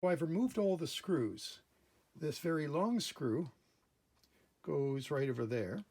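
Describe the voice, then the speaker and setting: Gravelly, husky man explaining repairs. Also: a little high, lively intonation, takes it slowly, a little mellow. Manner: methodical, step-by-step explaining. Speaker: host of a repair channel. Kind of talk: casual hands-on repair demonstration. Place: indoor workbench in a workshop.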